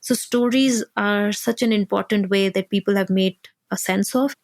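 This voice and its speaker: A woman from India, a researcher and activist, giving thoughtful, measured interview answers that build points step by step. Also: mid-range voice, steady tone, dry recording, clean studio sound.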